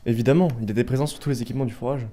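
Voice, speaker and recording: Man acting a mellow, quick-spoken practical adventurer. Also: medium pitch, fairly even intonation, a little hiss or hum, close to an everyday voice. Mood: matter-of-fact. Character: practical, determined male adventurer. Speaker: voice actor in an amateur audio fiction. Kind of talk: fantasy audio drama dialogue.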